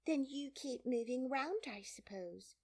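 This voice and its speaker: Lady doing a young girl from a storybook, high n polite, asks stuff earnestly, bit touchy. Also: expressive, unhurried, a little mellow, full character voice. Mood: curious. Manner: earnest, questioning, precise. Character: polite, curious young girl, easily offended.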